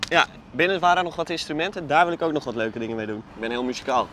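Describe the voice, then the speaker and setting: Lively higher-pitched young Dutch man. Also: very fast, slight background noise. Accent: Netherlandic Dutch. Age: 20s. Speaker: online show presenter. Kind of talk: casual banter. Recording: music behind the voice.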